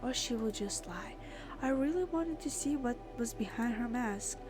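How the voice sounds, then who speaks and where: Girl gamer lets play, highish voice, reads the story out and reacts casually, game music playing behind. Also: expressive, moderate pace. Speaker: gamer, let's player. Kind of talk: reading game text aloud with casual reactions.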